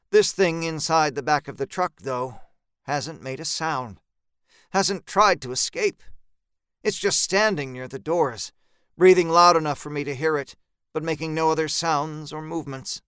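A man reads scripted horror fiction in the first person, tense and dramatic. His voice is smooth and bright, recorded very dry, with deliberate phrasing. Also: a little high, no room sound. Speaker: story narrator.

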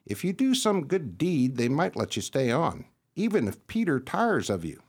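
A worldly-wise, friendly dog handing out frank advice in a radio play, played by a man. His voice sits a little high and swings about in a folksy, matter-of-fact way. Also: huge pitch swings, moderate pace, slightly rough, exaggerated voice. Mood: frank, advising. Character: streetwise, frank, friendly older-hand dog giving advice.

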